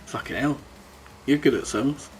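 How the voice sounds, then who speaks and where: A man's voice: medium pitch, a little slow, a little hiss or hum. A man chatting casually and bluntly as a podcast host, swearing often. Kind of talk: casual chat.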